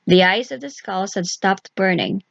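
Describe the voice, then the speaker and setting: A woman's voice: mid-range voice, moderate pace, gravelly, no echo, dull audio. A woman reading a scripted folk tale aloud for children as a storyteller.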